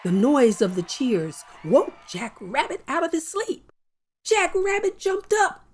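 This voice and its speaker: A woman hosting a children's story show, telling a folktale with a lively, playful delivery and drawn-out phrasing. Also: sits high. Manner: warm animated storyteller with slow deliberate pacing. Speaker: storyteller host. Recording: soft music bed behind.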